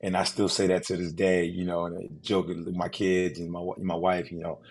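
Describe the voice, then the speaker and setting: Husky low-voiced man telling his story. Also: fairly quick. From United States. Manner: relaxed storytelling with many fillers and restarts. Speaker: guest, insurance agency owner. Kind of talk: casual interview.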